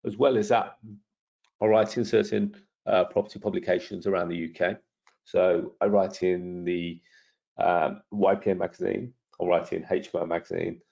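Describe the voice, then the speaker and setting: A middle-aged man talks through a webinar, his low voice croaky and gravelly with a husky edge. He sounds persuasive and keeps turning questions back to the listener. The audio is dull and lo-fi. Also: little pitch movement, normal speed, a little mellow. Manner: persuasive, self-promoting, asks the audience questions. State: croaky from lingering illness. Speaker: property trainer hosting a webinar. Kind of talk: webinar presentation over slides.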